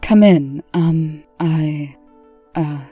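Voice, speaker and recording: A woman voicing a reserved, authoritative fairy-tale princess in a comic dub, with measured, formal delivery. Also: deep voice, slightly rough, no echo, muffled recording, soft background music, exaggerated voice. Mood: tentative.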